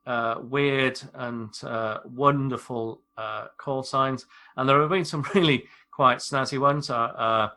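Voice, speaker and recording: British man, bright crisp-edged tone, medium pitch, measured explanatory delivery, informal podcast talk. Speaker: airline pilot, expert guest.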